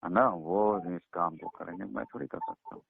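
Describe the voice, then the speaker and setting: A man's voice: low-pitched, huge pitch swings, brisk, creaky, a little hiss or hum. A man answering a phone call as a cleric, giving short, matter-of-fact and somewhat guarded explanations. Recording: narrow, tinny phone line.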